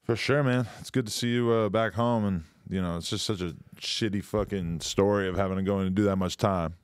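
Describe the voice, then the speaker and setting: A man hosts a podcast interview in a laid-back way, nodding along with quick affirmations and swearing casually. His voice is very deep. The recording is dry and close. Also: steady tone, clean tone, no room sound. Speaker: podcast host.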